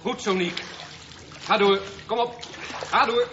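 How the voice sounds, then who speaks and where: An actor in a Dutch radio drama playing an encouraging, plain-spoken swimming instructor who gives brisk instructions. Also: male voice, high voice, reverberant, dull audio, noisy background.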